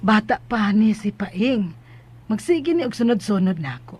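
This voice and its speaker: A woman's voice: medium pitch, very animated, a little slow, a little mellow, breathy edge. A woman with a Cebuano Filipino accent playing a gracious middle-aged lady of a hacienda in a radio drama, genteel and welcoming. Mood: nostalgic. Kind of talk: Philippine radio drama acting.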